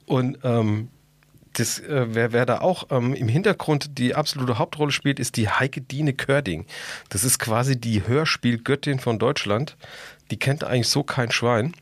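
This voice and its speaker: A middle-aged man with a husky, slightly rough voice at an ordinary male pitch. He chats as a podcast co-host in colloquial, enthusiastic language. The sound is close and dry, with little room. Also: normal speed, dry recording. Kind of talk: casual podcast chat.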